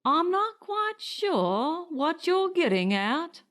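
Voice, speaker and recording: A woman voicing an evasive, corrupt male director in an audiobook, with slow, wooden politeness. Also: very high-pitched, very animated, a bit bright, pitched above the performer's own voice, exaggerated voice. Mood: guarded, evasive. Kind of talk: audiobook character dialogue.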